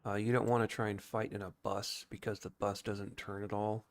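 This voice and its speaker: A man's voice: fairly low pitch, flat delivery, fairly quick. A man giving casual, explanatory video game commentary, talking informally and thinking aloud as a streamer.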